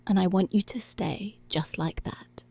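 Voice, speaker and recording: Seductive, controlling policewoman played by a woman for ASMR roleplay: mellow, creaky, half-whispered, teasing, close-miked. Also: medium pitch, a little slow, slight background noise. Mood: commanding, teasing. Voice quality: breathy, often slipping into whisper. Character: dominant, commanding, seductive policewoman. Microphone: close microphone.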